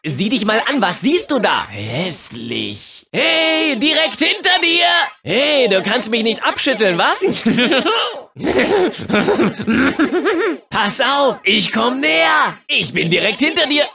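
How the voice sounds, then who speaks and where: A high, piercing cartoon cyborg mad scientist, gleeful and taunting. The delivery is wildly swooping and drawn out, with excitable shouts fit for a kart racing video game. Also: male voice, takes it slowly, creaky, full character voice. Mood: sly, menacing. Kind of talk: exaggerated cartoon game voice acting.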